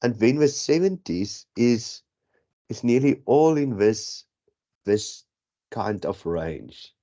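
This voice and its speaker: A man's voice: mid-range voice, animated, slow pace, dark tone. A man with a South African accent whose first language is Afrikaans, tutoring statistics for an exam in a rambling, self-correcting way, on dull lo-fi audio. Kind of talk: exam prep lesson.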